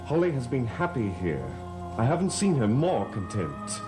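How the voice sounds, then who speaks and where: An actor playing a controlled, unyielding master of a house in gothic soap opera, low-key and measured. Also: male voice, sing-song, over music, natural voice. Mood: cool, firm.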